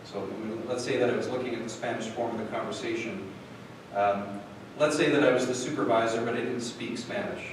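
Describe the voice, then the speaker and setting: A man's voice: medium pitch, fairly even intonation, brisk. Guy rambling thru a live tech demo, lots of ums, far off mic, noisy room. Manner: rambling, lots of fillers and restarts. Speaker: product demo presenter. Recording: echoey hall from far off, noisy.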